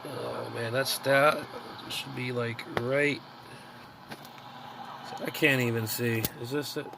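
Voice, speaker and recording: A man's voice: medium pitch, takes it slowly, vocal fry. A middle-aged man giving casual, rambling vlog commentary as a radio hobbyist, muttering, repeating himself and trailing off. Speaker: amateur radio hobbyist showing his gear. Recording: noisy recording with steady background noise.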